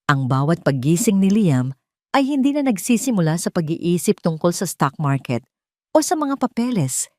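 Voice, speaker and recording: A woman reading a scripted story as narrator, with a measured, dramatic delivery. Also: deep voice, a little mellow, dry recording, clean studio sound.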